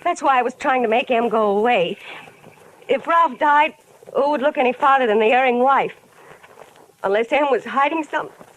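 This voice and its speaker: A woman's voice: high-pitched, moderate pace, pauses often, close to an everyday voice. A woman playing a defensive, pleading, unfaithful wife in a mid-century radio drama. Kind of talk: 1950s radio drama acting. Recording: noisy vintage radio recording.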